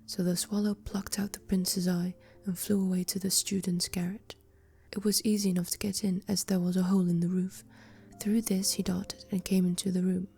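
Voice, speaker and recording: A woman whispering a fairy tale aloud, in a slow, even storytelling manner. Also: lowish voice, vocal fry, husky. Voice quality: whispering throughout. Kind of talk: whispered bedtime story reading.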